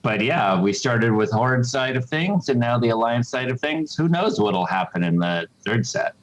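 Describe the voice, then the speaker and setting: A man speaking as a game developer, explaining things casually in a halting way with repeated words. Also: medium pitch, lively intonation, lo-fi sound. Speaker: game developer being interviewed.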